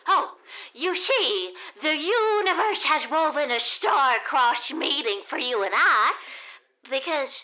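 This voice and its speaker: An actress playing an excitable, mystical, bossy married woman calling in a comedic audio drama. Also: high voice, takes it slowly, lo-fi sound, heavily acted. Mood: bossy, urgent.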